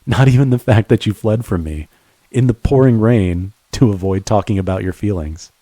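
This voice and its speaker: A man playing a devoted friend turned lover in an intimate romantic audio roleplay, speaking earnestly and haltingly to the listener. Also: on the low side, warm tone, dry recording, lightly acted. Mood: tender, teasing. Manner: halting, earnest, with stammered repeats.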